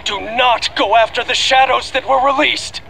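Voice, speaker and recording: A middle-aged actor playing a remorseful scientist father in an anime game, pleading gravely and deliberately. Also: very high-pitched, fairly even intonation, sharp tone, slight background noise, full character voice, rough recording. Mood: desperate warning. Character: desperate, remorseful scientist father recording a last message.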